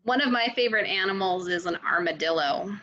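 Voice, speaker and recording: A woman's voice: mid-range voice, fairly quick, sharp tone. A woman co-hosting a children's storytime, chatting casually with young kids in a slightly muffled recording. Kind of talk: casual, child-directed chat.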